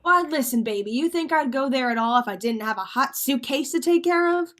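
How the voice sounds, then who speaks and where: A woman's voice: sits high, animated, brisk, fairly bright tone. A woman playing a cocky, bossy crook who narrates and schemes in slangy hardboiled style in a radio play. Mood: irritated, pushy. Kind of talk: vintage radio drama acting.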